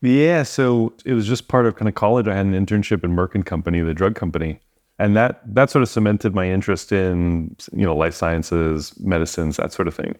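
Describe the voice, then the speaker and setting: A man chatting as a podcast guest in an informal, halting and self-deprecating way. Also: low-pitched, a little mellow. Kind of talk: casual chat.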